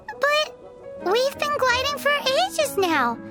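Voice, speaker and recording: A woman voicing a small, cute, curious fairy companion in a video game, with a squeaky, childlike way of talking. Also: very animated, slow pace, exaggerated voice. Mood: curious, chirpy. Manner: childlike, speaks of herself in third person. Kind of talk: video game character acting. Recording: music playing behind the voice.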